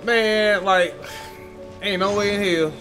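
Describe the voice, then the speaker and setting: A man reacting casually to a video game story. His talk is slangy and laid-back, at a slow pace, in short muttered asides with game music clearly behind him. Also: sits high, fairly even intonation, fairly bright tone. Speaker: gaming streamer reacting to a story quest.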